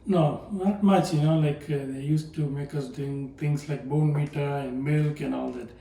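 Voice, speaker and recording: An older man from South India calmly demonstrating how he makes coffee, soft-spoken and hesitant, with frequent fillers. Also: a little high, a little slow, gravelly. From Palakkad, South India. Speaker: guest, home cook. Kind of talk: casual demonstration chat. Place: home kitchen. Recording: echoey room from a distance, faint noise.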